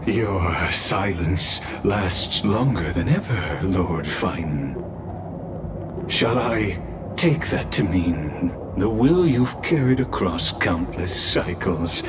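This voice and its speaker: An actor playing a smug, patient game villain who taunts coolly in a theatrical, drawn-out, deliberate way. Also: male voice, sits low, expressive, background noise. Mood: smug mockery. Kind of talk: theatrical game cutscene acting.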